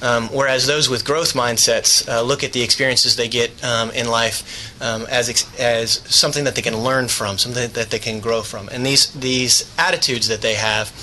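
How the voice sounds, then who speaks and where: A man giving earnest, prepared testimony to a committee, halting often with filler sounds. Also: medium pitch, fairly even intonation, pushed and bright, a little hiss or hum, low-quality recording. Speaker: school board member testifying. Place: legislative hearing room.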